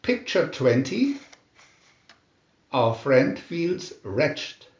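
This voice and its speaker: A man narrating a scripted children's storybook in a deliberate, expressive storytelling manner. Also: fairly high pitch, echoey room, lo-fi sound.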